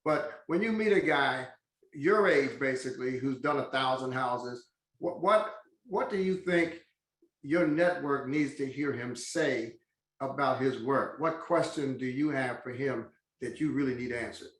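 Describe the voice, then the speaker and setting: A man hosting an interview in a measured, emphatic way, slowing down to stress key phrases. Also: mid-range voice, a bit bright, reverberant. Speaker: host.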